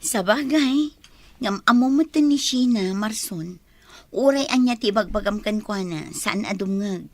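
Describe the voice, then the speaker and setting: A woman's voice: mid-range voice, measured pace, darkish tone, dry recording. An actress playing an emotional village farm woman in an Ilocano radio drama. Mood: conversational. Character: village farm woman, anguished daughter losing her mother.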